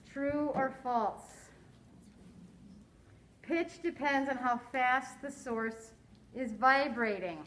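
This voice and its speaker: A woman teaching a science lesson to a class, quizzing her students in a slow, deliberate way. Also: high voice, fairly bright tone, a little hiss or hum.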